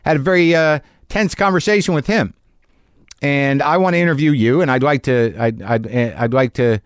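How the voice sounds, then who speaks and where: A man's voice: mid-range voice, no echo. A man in his fifties hosting a podcast, talking casually in a rambling way with many halts and restarts, recorded close on a studio mic. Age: 50s. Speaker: podcast host. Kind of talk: casual podcast chat and monologue.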